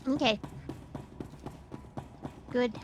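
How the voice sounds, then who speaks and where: A woman chatting casually as a co-player on a game stream, with a wry, joking way of talking. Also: extremely slow. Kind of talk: casual gameplay chat. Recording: clear background noise with game sounds.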